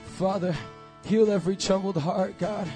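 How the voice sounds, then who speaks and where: A hoarse man leading worship, praying and exhorting a church congregation into a stage mic. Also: sits high, fairly even intonation, normal speed, music in the background. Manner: urgent, exhorting calls to the crowd. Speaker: worship leader and preacher. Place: church service hall. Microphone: handheld stage mic.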